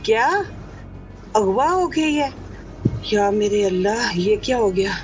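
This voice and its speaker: A worried village mother in an audio drama, caring and anxious in how she speaks. Her voice is of middle pitch with light music beneath it. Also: lively intonation, takes it slowly.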